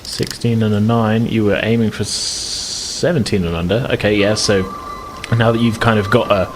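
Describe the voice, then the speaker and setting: Deep-voiced guy gm, easygoing, lots of ums and kinda, faint hiss behind. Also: fairly even intonation, moderate pace. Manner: hedging, filler-heavy, easygoing. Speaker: game master. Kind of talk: narrating a tabletop game.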